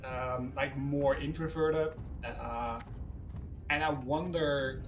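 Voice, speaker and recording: A man's voice: medium pitch, fairly even intonation, slow, recorded from a distance, slight background noise. A man chatting on voice chat, hesitant and searching for words as he talks. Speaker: guest on voice chat. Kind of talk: casual chat.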